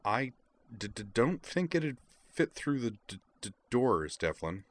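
A man voicing a shy, gentle young woman who stutters heavily and speaks haltingly in an audiobook story. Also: mid-range voice, big pitch swings, pitched above the performer's own voice. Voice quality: heavy stutter.

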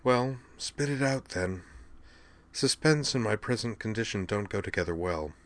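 A man voicing an injured, regal alien princess in an audiobook, with a dry, raspy, formal and measured delivery. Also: on the low side, lively intonation, fairly bright tone. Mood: dry, weary. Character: injured alien crown princess, wry, regal, medicated woman.

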